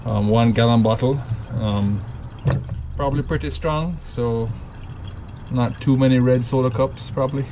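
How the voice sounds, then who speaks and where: A man's voice: mid-range voice, unhurried, dark tone, a little hiss or hum. A man from Jamaica with a Jamaican accent, casually talking through a drink recipe in a rambling, self-correcting way. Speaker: home cocktail vlogger. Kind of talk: casual step-by-step recipe demo.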